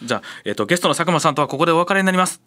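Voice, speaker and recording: A man's voice: fairly high pitch, animated, very fast, sharp tone. A man with a Kansai Japanese accent hosting a radio show in a casual, chatty style.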